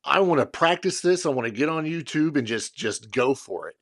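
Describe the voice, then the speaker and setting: A man's voice: on the high side, expressive, brisk. Rambling podcast co-host, man. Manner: rambling, restarting questions. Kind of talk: casual interview chat.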